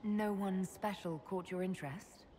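A woman's voice: mid-range voice, unhurried, lightly acted. Woman acting composed mage leader, reverberant. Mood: curious. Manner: calm, direct. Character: composed, supportive female mage leader of an army. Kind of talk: cinematic fantasy game dialogue acting. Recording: reverberant, faint ambient noise.

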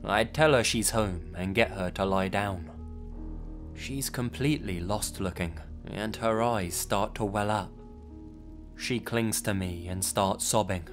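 A man's voice: fairly low pitch, measured pace, over music. A man narrating a true scary story in the first person, with tense, dramatic storytelling.

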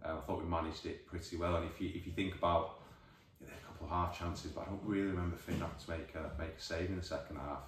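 A man in his forties with a Northern English accent, a football manager giving a measured, thoughtful post-match interview, recorded by a distant camera in an empty changing room. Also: low-pitched, fairly even intonation, slight background noise. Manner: measured, thoughtful, many restarts. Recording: echoey room, voice from far off.

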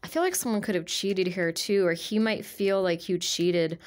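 A woman with a slightly low voice gives a casual tarot reading, her nose stuffy from allergies. She rambles and circles back often, filling gaps with "like" and "um." She talks over the cards at her table. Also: fairly even intonation, normal speed. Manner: rambling, hesitant, many fillers and restarts. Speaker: tarot reader.

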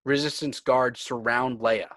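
A man's voice: mid-range voice, steady tone, a little slow, fairly bright tone, no echo. A man reading screenplay stage directions as dramatic scripted narration.

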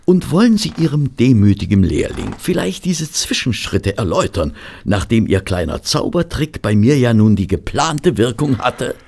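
An actor playing a proper, sceptical gentleman companion to a detective in a German audio drama, polite and dryly indignant. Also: mid-range voice, big pitch swings, normal speed, vocal fry. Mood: wry, doubtful.

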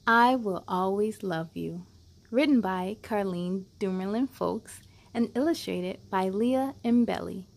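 A woman in her thirties reading aloud to children as a storytime host, with an encouraging, animated manner and simple phrasing. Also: mid-range voice, takes it slowly, vocal fry. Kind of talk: children's read-aloud, addressing young listeners.

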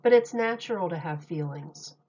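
A woman reading a picture book aloud as a teacher, with simple, deliberate phrasing and questions for young listeners. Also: lowish voice, expressive, a little mellow, highs cut off, slight background noise. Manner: simple, deliberate phrasing addressed to small children.

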